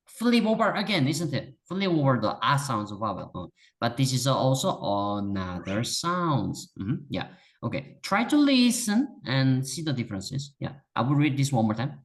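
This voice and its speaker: A native Korean speaker teaching in English with a clear Korean accent. The voice rises and falls a lot as they explain. They are patient and encouraging, and they often say things twice for emphasis. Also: medium pitch. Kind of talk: one-on-one language lesson.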